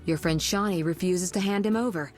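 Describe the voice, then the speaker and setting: A woman playing a commanding, impatient sorceress in a video game, brisk and authoritative. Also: lowish voice, darkish tone, faint music behind, close to an everyday voice. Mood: urgent, exasperated. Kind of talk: video game dialogue acting.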